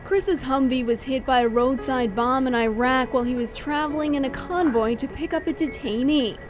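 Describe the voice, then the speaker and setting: A woman's voice: high voice, fairly even intonation, normal speed, slight background noise. A woman reporter reading scripted narration for a news feature.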